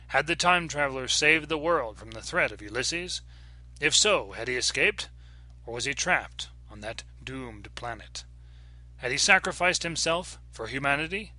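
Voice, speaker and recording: Male audiobook narrator: measured, solemn, dramatic, crisp sharp tone, dry recording. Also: medium pitch, no echo. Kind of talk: dramatic scripted fiction narration.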